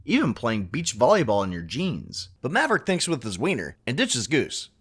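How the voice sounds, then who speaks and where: A man's voice: mid-range voice, huge pitch swings, normal speed, a bit bright, breathy edge. A man narrating a comedic film recap with wry, mocking asides.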